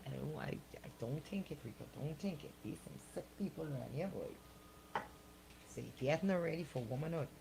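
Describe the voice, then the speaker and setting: A woman's voice: low voice, expressive, fairly quick, gravelly, noisy background. A woman radio host bantering and commenting on the news in a blunt, exclamatory, colloquial way.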